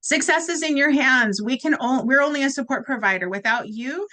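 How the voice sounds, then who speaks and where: A woman with a fairly high voice presenting her program on a video call. She gives direct, encouraging advice and leans on key points with lively emphasis. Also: a little fast. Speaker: program presenter at a community forum.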